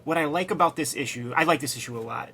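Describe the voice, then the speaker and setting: A man's voice: fairly high pitch, fast, pushed and bright, a little hiss or hum. A man co-hosting a casual comics discussion, enthusiastic and slangy, leaning hard on his points. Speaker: co-host.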